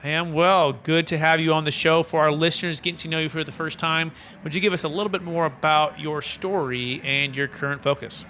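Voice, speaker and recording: A man's voice: fairly high pitch, fairly even intonation, brisk, hardly any pauses, pushed and bright, slight background noise. A man hosting a podcast, delivering scripted introductions and welcoming a guest. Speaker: podcast host.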